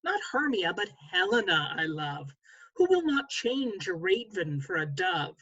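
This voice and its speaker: A woman's voice: lowish voice, very animated, unhurried, fairly bright tone, slightly rough, muffled recording, full character voice. A woman playing an ardent, fickle young nobleman in a verse play read over a video call, impassioned and declamatory. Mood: wooing. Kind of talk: stage verse read aloud at a table read.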